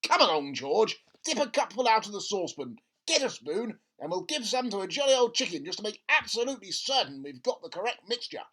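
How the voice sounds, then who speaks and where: A storybook reader voicing an excitable, impatient middle-aged father with an urgent, exclaiming manner. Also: mid-range voice, brisk, few pauses, pitched above the performer's own voice. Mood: frantic excitement. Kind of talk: children's storybook character voice.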